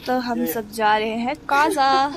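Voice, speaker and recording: A woman's voice: on the high side, slow pace, over loud music. A young woman from India vlogging on a handheld camera, talking casually to her viewers as friends. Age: young adult. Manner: chatty, addresses viewers as friends. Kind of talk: casual travel vlog narration. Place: outdoors, cafes, shops and a bus.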